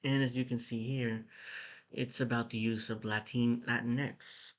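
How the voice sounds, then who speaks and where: A man's voice: mid-range voice, level tone, a little slow. A man from the United States giving blunt, irritated commentary while reading an article aloud at his computer, with frequent restarts. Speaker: political commentator.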